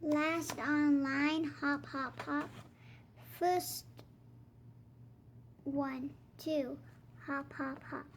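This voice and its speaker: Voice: high voice, fairly even intonation, extremely slow, long pauses. A child reading a rhyming picture book aloud in a sing-song, rhythmic way, as host of a reading channel. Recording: clear background noise.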